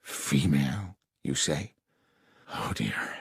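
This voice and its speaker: Man playing uneasy, genteel gentleman. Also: extremely low pitch, expressive, slow, vocal fry, slightly rough, dry recording, clean studio sound, pitched below the performer's own voice, exaggerated voice. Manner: formal, genteel. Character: polite, uneasy gentleman client paying for investigation.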